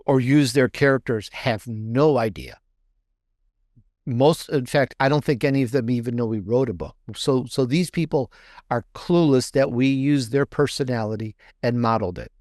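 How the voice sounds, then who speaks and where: A man's voice: mid-range voice, darkish tone, no echo. Man chatting enthusiastically, dry close sound. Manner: enthusiastic, emphatic, repeats phrases for emphasis. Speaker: guest author and podcaster. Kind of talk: casual interview conversation.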